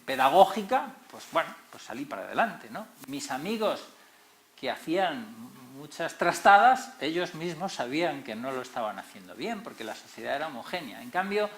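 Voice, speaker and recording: A middle-aged man with a Madrid Spanish accent giving an expressive public lecture, stressing key words as series moderator. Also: medium pitch. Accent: Madrid Spanish, not very Canarian. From Tenerife, Canary Islands. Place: lecture hall. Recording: roomy echoey hall.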